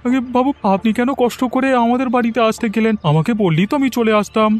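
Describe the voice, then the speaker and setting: A man's voice: very high-pitched, animated, quick pace. A man playing a meek, worried poor villager in debt in a cartoon, pleading and anxious. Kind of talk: Bengali cartoon voice acting. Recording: music behind the voice.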